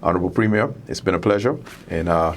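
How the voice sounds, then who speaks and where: A man's voice: deep voice, fast talker. A man hosting an interview in a casual, agreeable, informal way. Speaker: interview show host. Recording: clear background noise.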